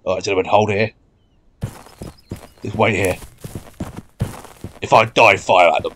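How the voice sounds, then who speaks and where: A man's voice: fairly low pitch, steady tone, a little slow, fairly bright tone. Strained man barking officer orders, noisy. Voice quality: strained put-on voice. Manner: repetitive rallying orders, matey slang. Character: blustering matey male Australian military officer leading troops. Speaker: gamer playing a line officer in an online game. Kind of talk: in-character shouted commands over game voice chat. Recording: noisy game audio, horses and battle sounds.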